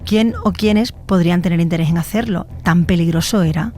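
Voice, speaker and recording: A woman's voice: lowish voice, brisk, darkish tone. A woman presenting scripted documentary commentary as a journalist. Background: faint music.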